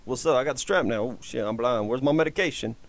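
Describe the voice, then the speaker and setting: A swaggering street guy, put on by a comic dubber, comically helpless beneath the bluster. The voice drops very low and sounds dry. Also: fast talker, dry recording, close to an everyday voice. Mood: mock menace.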